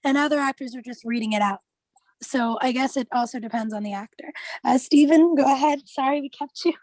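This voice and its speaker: A woman's voice: sits high, fairly quick, a little mellow, no echo, rough recording. A woman leading a casual group discussion, thinking aloud in a tentative way. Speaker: study group discussion leader.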